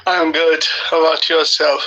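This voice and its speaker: A man's voice: a little high, level tone, moderate pace, bright, forceful tone. Polite male student on a muffled call. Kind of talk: conversation practice.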